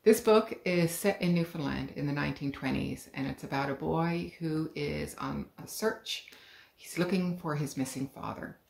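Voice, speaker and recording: Middle-aged woman, low gravelly voice. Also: moderate pace. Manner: calm, clear storytelling with lively emphasis. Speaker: author. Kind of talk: reading her own children's book aloud. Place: living room at home. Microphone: webcam, head and shoulders. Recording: roomy living room.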